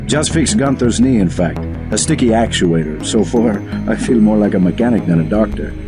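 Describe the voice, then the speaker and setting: A man's voice: on the low side, lively intonation, moderate pace, natural voice. A man playing an easygoing, wry government agency doctor in a video game. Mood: wry, friendly. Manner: casual, wry. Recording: music behind the voice. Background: game music.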